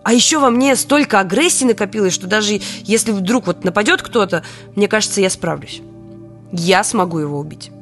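A weary, wry woman confides her wartime diary in an audio drama, performed by an actress. Her voice sits at a middle pitch and tells it intimately in the first person, with music underneath. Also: expressive, fairly quick, close to an everyday voice. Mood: defiant, brooding.

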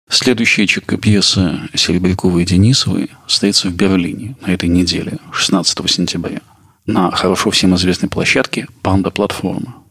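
Deep, gravelly male podcast host. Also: fairly bright tone. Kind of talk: scripted narration.

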